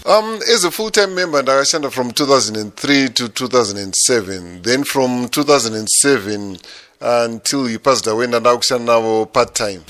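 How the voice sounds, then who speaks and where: A middle-aged Zimbabwean man with a Zimbabwean accent speaks over a phone line to a radio host. His voice is bright and forward, and he talks in a loose, easy way, drifting between English and Shona. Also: mid-range voice, moderate pace, faint music behind. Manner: code-switching between English and Shona, relaxed and chatty. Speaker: musician guest. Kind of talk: phone interview.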